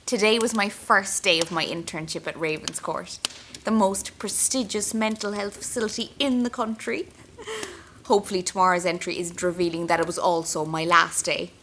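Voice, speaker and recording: Bright-voiced, wry young Irish intern. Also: female voice, on the low side, steady tone, normal speed, a little hiss or hum. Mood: wry, restless. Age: young adult. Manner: intimate, wry, self-mocking. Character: anxious, wry young female psychiatric intern. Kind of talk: confessional audio-drama diary narration.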